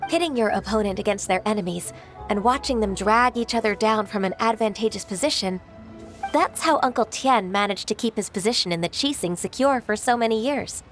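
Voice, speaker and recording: A woman playing a gentle, modest and composed secretary and mentor in an anime game dub, speaking with polite, measured formality. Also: medium pitch, lightly acted. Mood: composed explaining. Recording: music behind.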